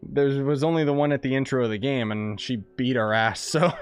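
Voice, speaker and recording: A man's voice: mid-range voice, brisk, soft background music. A man giving casual, informal, slangy commentary as he plays through a game. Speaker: game streamer.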